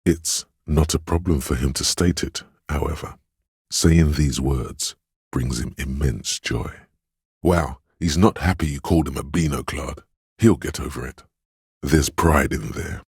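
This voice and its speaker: A super deep husky inner voice of empathy, tender and knowing, dramatic game narration, intimate. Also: male voice, no echo, clean studio sound, exaggerated voice. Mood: observant, gentle. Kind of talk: scripted game narration, second-person commentary.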